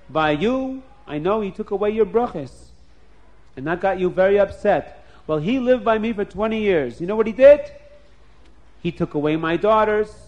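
A man's voice: sits high, a little mellow, muffled recording, voice pushed up. A man voicing a scheming, complaining old man who whines and exclaims in a storybook tale. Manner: whiny, exclaiming with oys.

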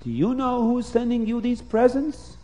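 Awed palace officer in a children's tale, voiced by a man: high pitch, halting, stretched words, eager to report. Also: mellow.

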